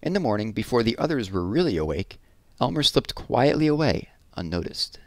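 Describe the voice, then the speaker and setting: A man in his thirties reading a children's story aloud expressively, with deliberate pauses and drawn-out words, on a headset mic. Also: medium pitch, big pitch swings, dry recording. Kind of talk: children's read-aloud narration. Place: small room at home, indoors.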